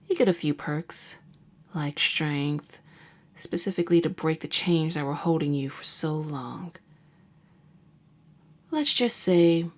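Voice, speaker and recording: A woman acts out a jaded vampire huntress who works as a nurse, in an ASMR audio drama. Her voice sits low for a woman. She speaks intimately and wryly, often sinking into breathy murmurs, and a caring streak shows beneath the hardened front. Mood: bitter, haunted. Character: jaded, wry female vampire hunter and nurse, secretly caring. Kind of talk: ASMR roleplay monologue.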